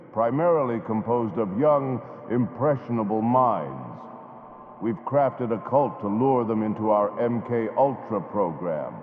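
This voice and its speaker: A man playing a stern, demanding leader of a secret council in a radio drama, echoey and tinny. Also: lowish voice. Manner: imperious, demanding. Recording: echoey tinny hall sound.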